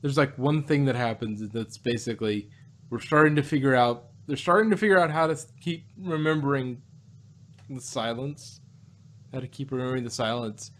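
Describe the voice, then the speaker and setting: Male review-podcast panelist, average pitch, conversational, halting as he explains. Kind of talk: casual chat reviewing an audio drama.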